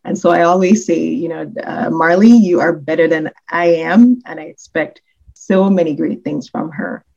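A woman from Jamaica in her forties, a university executive telling her life story as a podcast guest in a measured, earnest way. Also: sits low, creaky, darkish tone, muffled recording. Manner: measured, earnest, slows down on key points. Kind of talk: reflective storytelling in an interview.

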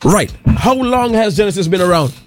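A man hosting a casual radio interview, playful and quick to laugh, often repeating his words. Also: high voice, animated, faint music behind. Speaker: radio host.

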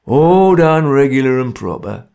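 A man voicing a grim, stern male ringleader, talking gruffly. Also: mid-range voice, animated, measured pace, dark tone. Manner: gruff, severe, matter-of-fact.

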